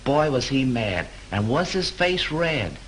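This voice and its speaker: An actor playing a chatty young hotel bellhop who tells a story in breezy slang, in 1930s film acting. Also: male voice, medium pitch, sing-song, slow pace, a bit bright. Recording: hissy 1930s film soundtrack.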